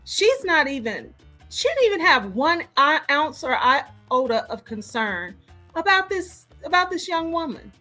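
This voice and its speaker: A woman's voice: high-pitched, very animated, measured pace, sharp tone, soft background music. A woman giving casual, disapproving reaction commentary as a host, emphatic and repeating points to drive them home. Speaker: host reacting to a recorded call.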